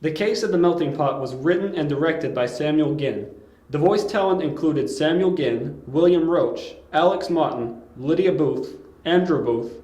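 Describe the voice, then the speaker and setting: A man's voice: fairly high pitch, a little mellow. A man reading scripted closing credits as an announcer, recorded in an echoey room.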